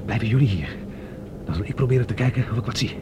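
A man's voice: mid-range voice, lively intonation, fast talker, muffled recording, natural voice. Man acting a dazed but determined space captive. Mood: wary, alert. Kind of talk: Dutch science fiction radio drama acting. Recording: clearly noisy radio play recording.

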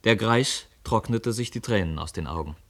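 Man, low voice, measured literary narration, expressive radio-play storyteller.

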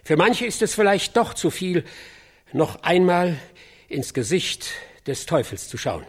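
A solemn news guy on location, husky highish voice, halting phrases, radio play. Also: sing-song, full character voice. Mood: earnest. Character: solemn American field reporter with a hushed sense of drama. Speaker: field reporter. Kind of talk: acted on-location news report in a radio drama.

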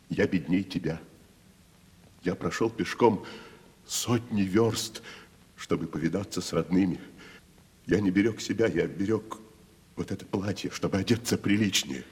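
Deep, slow, anguished drunken tragedian. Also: male voice, a little mellow, breathy edge. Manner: declamatory, anguished, dramatic pauses, drops into whispers. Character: grandiose, tormented drunken wandering actor, self-reproaching brother. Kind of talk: theatrical Russian radio play acting. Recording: faint hiss of 1970s radio recording.

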